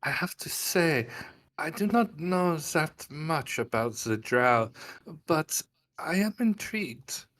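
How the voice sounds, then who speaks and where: A man voicing a flirtatious, seductive noblewoman in a courtly, halting and coy manner for a tabletop game. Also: fairly high pitch, lively intonation, a bit bright, pitched above the performer's own voice, rough recording.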